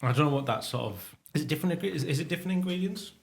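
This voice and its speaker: A man co-hosting a podcast in casual chat, sniffly, talking in a halting, rambling way with many restarts. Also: a little high, gravelly. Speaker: podcast co-host. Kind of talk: casual chat while tasting hot sauce.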